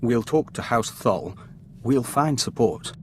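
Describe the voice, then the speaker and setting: A man playing an earnest, steady intelligence team member in a video game. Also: mid-range voice, slow pace, a little hiss or hum.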